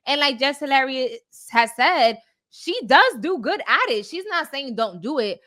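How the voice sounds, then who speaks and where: A woman's voice: high-pitched, expressive, unhurried, pushed and bright. A woman co-hosting a talk podcast, giving casual, opinionated commentary and repeating her points for emphasis. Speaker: podcast co-host.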